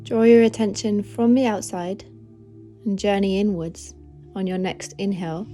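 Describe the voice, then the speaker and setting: A woman leading a guided meditation in a gentle, soothing, unhurried manner of instruction. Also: mid-range voice, fairly even intonation, faint music behind. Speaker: meditation guide, podcast host.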